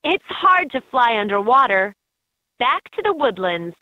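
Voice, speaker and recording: A woman voicing an encouraging guide in a children's educational game, narrating in a clearly enunciated, instructive way. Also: a little high, very animated, measured pace, dry recording. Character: encouraging female guide in a kids' animal learning game. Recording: muffled lo-fi handheld game audio clips.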